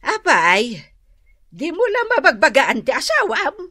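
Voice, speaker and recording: A woman's voice: sits high, huge pitch swings, unhurried, pushed and bright, no room sound, studio quality, exaggerated voice. An actress playing an older village mother in an Ilocano radio drama, scolding and advising in an emphatic, exclaiming way.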